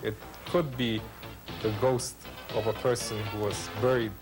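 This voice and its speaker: A man telling a ghost story in a deliberate, dramatic manner. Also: mid-range voice, level tone. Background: faint music.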